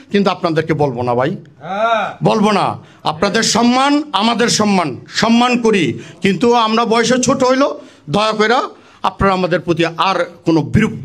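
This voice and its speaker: A male party activist with a Bangladeshi accent addresses a meeting. His voice is high as he makes a political speech. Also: a bit bright.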